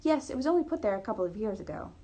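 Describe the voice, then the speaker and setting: A woman's voice: medium pitch, sing-song, rapid-fire, dark tone. A woman voicing a polite, earnest young lady in a storybook reading, answering emphatically. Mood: surprised, earnest.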